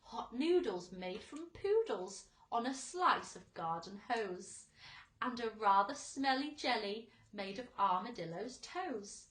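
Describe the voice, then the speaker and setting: A boastful, exuberant centipede from a storybook, acted out by a woman, relishes each rhythmic phrase. The voice is high and animated, heard in an echoey room. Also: slow pace. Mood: boastful, relishing. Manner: declamatory, rhythmic verse delivery. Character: boastful, exuberant, greedy centipede reciting a food song.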